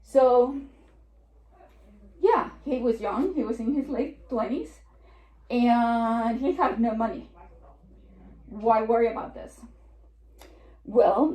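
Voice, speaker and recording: A woman in her forties with a Puerto Rican accent gives a seminar talk as an estate planning attorney, chatty and given to joking asides. Her voice sits a little high and carries the echo of a roomy meeting room. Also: slow, pauses often, dark tone. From New York. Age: 40s. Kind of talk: seminar presentation.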